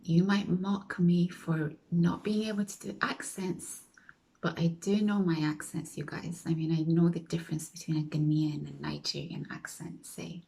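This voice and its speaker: A woman talks casually to her viewers in a mellow, darker voice that sits a little low. She rambles and corrects herself as she goes, often sinking into a near-whisper. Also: little pitch movement, normal speed, creaky, rough recording. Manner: chatty, self-correcting, often drops to a whisper. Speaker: vlogger.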